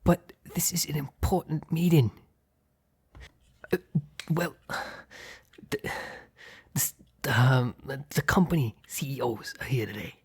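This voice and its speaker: A man playing a gruff, short-tempered mob boss in an ASMR roleplay, with a gravelly, husky voice. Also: medium pitch, animated, slow pace, pauses often, full character voice. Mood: hushed, exasperated. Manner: commanding street-tough slang with crew, flustered hushed stammer with partner. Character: gruff, short-tempered male mob boss hiding his work from partner.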